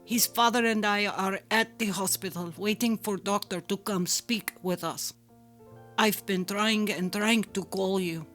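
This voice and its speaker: Woman as an older mother, frantic, urgent, clipped phrasing, dramatic story reading, soft background music. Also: mid-range voice, steady tone, a little slow, natural voice. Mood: urgent, frightened. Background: faint music.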